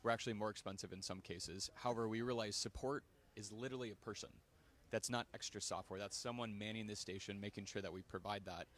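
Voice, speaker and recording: A man from a software company explaining his product in a friendly, polished, salesy way during a casual live chat. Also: fairly low pitch, steady tone, fast talker. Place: conference venue, indoors.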